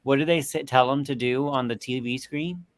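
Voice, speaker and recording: A man chatting casually as a film panelist, feeling his way through halting, meandering sentences. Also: medium pitch. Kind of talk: casual movie discussion.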